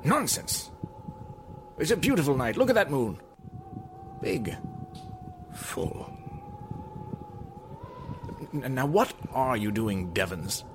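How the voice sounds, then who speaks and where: An actor playing a nervous, irritable gentleman master in an old-time radio drama, with a gruff, blustering manner. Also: medium pitch, wildly swooping pitch, takes it slowly, long pauses, background noise. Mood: agitated, restless.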